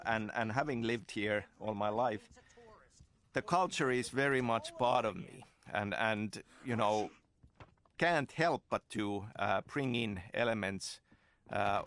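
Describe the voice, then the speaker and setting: A man from Finland, a game developer speaking in a halting documentary interview with many restarts. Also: lowish voice, fairly bright tone.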